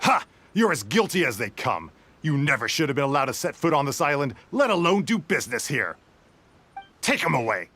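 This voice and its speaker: Game guard: hard, suspicious, blunt, widely swinging intonation. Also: male voice, a little high, big pitch swings, fairly quick, bright, forceful tone, husky, heavily acted. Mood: scornful. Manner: scornful, blunt. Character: hostile, suspicious male guard, scornful and hard.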